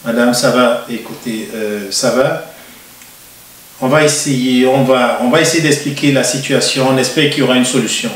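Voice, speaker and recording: A man hosting a TV interview show, speaking in a measured way with frequent hesitations. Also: medium pitch, a little hiss or hum. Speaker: TV show host. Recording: roomy echo, distant mic.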